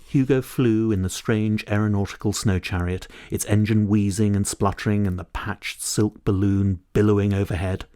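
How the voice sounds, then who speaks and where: A man's voice: lowish voice, darkish tone, dry recording, clean studio sound. A middle-aged man, narrating a children's audiobook expressively. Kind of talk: expressive children's story reading.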